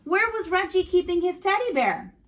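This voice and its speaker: A woman reading a story aloud to children in an expressive, teacherly way, speaking directly to young listeners. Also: very high-pitched, normal speed.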